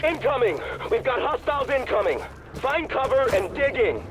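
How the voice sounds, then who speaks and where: An urgent soldier in a video game, shouting battle reports. The voice is strained very high, over clear combat noise. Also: male voice, sing-song, moderate pace. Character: urgent male soldier shouting under fire. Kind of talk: dramatic video game acting. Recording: battle and vehicle noise behind voice.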